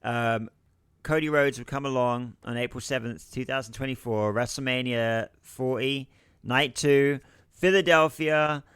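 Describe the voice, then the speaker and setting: A hoarse man chats casually on a podcast, recounting dates and names with the odd curse. His voice stays smooth and resonant despite the strain, and the sound is close and dry. Mid-pitched and relaxed. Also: measured pace, no echo. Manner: casual, profane, recounting title history. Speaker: podcast co-host. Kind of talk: casual wrestling podcast chat.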